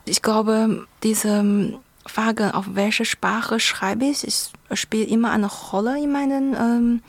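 A woman's voice: a little high, steady tone, takes it slowly, no echo. A young woman from China, a native Chinese speaker with a Chinese accent, reflecting in an interview with searching, non-native phrasing. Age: young adult. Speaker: interviewee, playwright.

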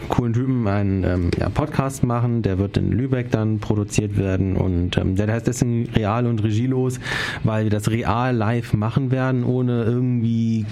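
Eager rambling young man, mellow voice. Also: fairly low pitch, creaky, a little hiss or hum. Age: 20s. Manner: eager, rambling, searches for words. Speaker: guest, writer and poet. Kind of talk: casual interview chat.

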